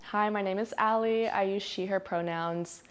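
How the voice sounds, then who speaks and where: A woman's voice: medium pitch, fairly even intonation, moderate pace, slight background noise. A young woman from New Jersey in casual panel chat, chatty, with many fillers. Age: young adult. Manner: chatty, frequent "like" and "um". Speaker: student panelist.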